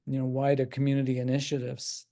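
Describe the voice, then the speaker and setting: An older man with an Irish accent, a psychiatrist speaking as a guest on a video call, thoughtful and halting with frequent restarts. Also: mid-range voice, monotone. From Ireland. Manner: thoughtful, halting with many restarts and soft laughs. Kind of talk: casual talk on a video call.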